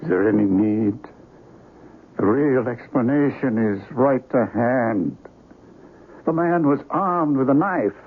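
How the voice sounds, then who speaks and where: Slow, grave exorcist doctor. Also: male voice, medium pitch, expressive, slightly rough. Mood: subdued, grave. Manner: solemn, measured, declamatory. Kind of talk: radio horror drama acting. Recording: noisy phone-like radio recording.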